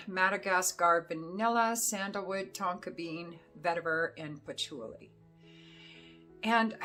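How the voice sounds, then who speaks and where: Hoarse chatty woman, rambling to camera. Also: lowish voice, fairly bright tone. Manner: rambling, chatty, with many fillers. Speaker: fragrance reviewer. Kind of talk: casual chat to camera.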